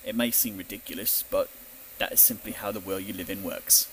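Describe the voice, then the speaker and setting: An older, dutiful family butler, played by a man in an audio drama. His lowish voice is formal and measured, fatherly with a dry wit, over faint noise. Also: fairly even intonation, natural voice, poor audio. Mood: wry, earnest. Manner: formal, measured, gently wry.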